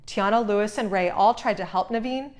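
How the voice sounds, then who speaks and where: A woman's voice: mid-range voice, moderate pace. A woman narrating a scripted children's story as a read-aloud. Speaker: storybook reader.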